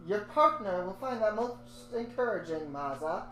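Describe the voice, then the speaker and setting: A woman's voice: mid-range voice, expressive, normal speed, a bit bright, lightly acted. Woman reading a stern police captain, clipped and commanding, echoey room, music behind. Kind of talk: comic table reading.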